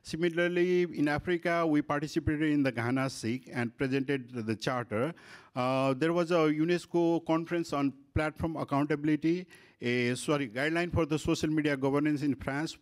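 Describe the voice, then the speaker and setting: A middle-aged man from Nepal, not a native English speaker, moderating a conference workshop and speaking through a handheld microphone in a meeting room, with many fillers and restarts. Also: on the high side. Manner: halting, frequent fillers and restarts. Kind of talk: conference panel presentation. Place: conference room with panel table.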